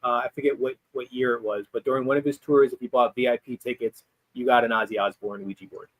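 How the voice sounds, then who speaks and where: A man's voice: mid-range voice, steady tone, fast, dry recording, rough recording. A man appearing as a guest expert, explaining history in a conversational way with frequent ums and restarts. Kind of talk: explaining history in casual chat.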